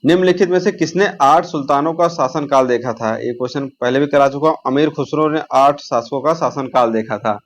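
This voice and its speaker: A man's voice: medium pitch, brisk, few pauses. A man teaching an exam lesson, posing questions, answering them himself and repeating key terms.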